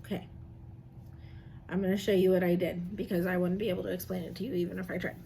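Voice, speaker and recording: A woman's voice: lowish voice, little pitch movement, fast talker, vocal fry, mellow, slight background noise. A woman, an elementary teacher reading and chatting to young children, often asking them questions and affirming their answers. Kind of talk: children's read-aloud and drawing lesson.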